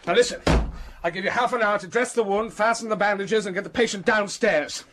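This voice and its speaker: An actor playing a commanding, secretive master of the house, brusque and urgent, in a radio drama. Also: male voice, sits high, brisk, a bit bright.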